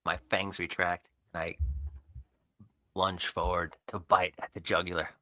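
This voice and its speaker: A man's voice: low voice, flat delivery, a little slow, bright, forceful tone, no room sound. A man narrating his own actions in a tabletop roleplay game, theatrical and intense. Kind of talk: dramatic first-person roleplay narration.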